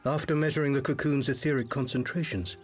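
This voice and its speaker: An actor playing a dutiful soldier in a fantasy game, reporting in a formal, measured, earnest manner. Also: male voice, medium pitch, fairly even intonation, soft background music, natural voice.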